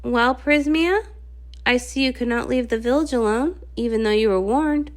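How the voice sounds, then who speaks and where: A woman's voice: fairly high pitch, normal speed, close to an everyday voice. A woman voicing a regal, stern pony ruler in a storybook, with a stately, formal manner.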